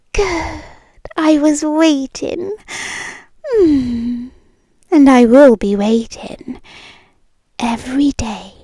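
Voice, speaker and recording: A British woman playing a possessive, obsessive ex-girlfriend in an intimate ASMR roleplay, speaking softly and coaxingly close to the microphone and often slipping into whispers. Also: high voice, husky, no echo, heavily acted. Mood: dreamy, doting. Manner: soft, coaxing, slow and deliberate, slipping into whispers. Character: possessive, obsessive yandere ex-girlfriend, tender yet menacing.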